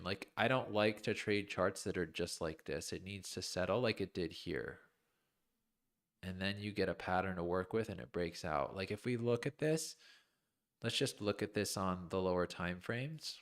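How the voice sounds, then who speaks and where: Low-voiced man talking through trading charts. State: losing his voice, clearing his throat. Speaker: crypto trading streamer. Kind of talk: live chart analysis commentary, thinking aloud.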